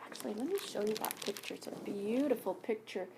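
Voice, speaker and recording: A woman reading a picture book aloud to young pupils as a teacher, expressively, pausing to ask questions and explain things simply. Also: medium pitch, noisy background. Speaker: elementary school teacher reading aloud to students.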